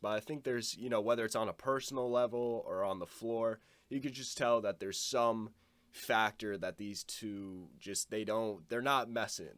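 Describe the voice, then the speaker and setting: A man's voice: mid-range voice, a bit bright, dry recording. A young man with a stuffed-up nose giving a casual, blunt basketball opinion monologue, swearing now and then. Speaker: basketball commentator.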